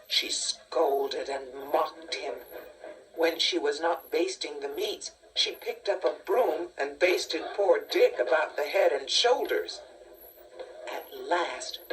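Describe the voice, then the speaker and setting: Slow, low-voiced woman narrating a storybook. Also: slight background noise. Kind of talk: scripted children's story narration. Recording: distant-sounding cassette tape transfer. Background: music.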